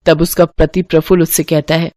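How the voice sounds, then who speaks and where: A woman narrating a scripted family story in an expressive storytelling style. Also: low voice, brisk, dry recording, studio quality.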